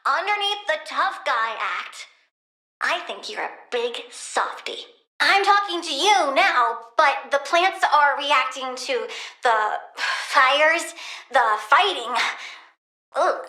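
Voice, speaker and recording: A bratty, half-human plant girl from a video game, snarky and moody like a teenager. Her voice is high and bright and swings widely in pitch, with drawn-out, petulant phrasing. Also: animated, reverberant, full character voice, low-quality recording. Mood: snide, mocking. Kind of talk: video game character voice lines.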